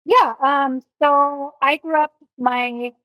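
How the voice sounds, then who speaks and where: A woman from Miami with a fairly high voice speaks as a podcast guest and nutrition coach. She is earnest and reflective, and she leans on you-knows and ums as she thinks. The sound is dry and close. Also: steady tone, slow pace, pushed and bright, no room sound, poor audio. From Miami, United States. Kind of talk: podcast interview.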